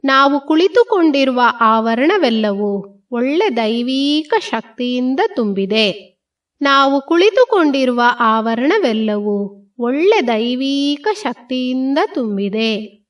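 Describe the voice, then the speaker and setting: A woman leading a guided meditation in slow, calm, repetitive phrases with pauses between them. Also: high-pitched.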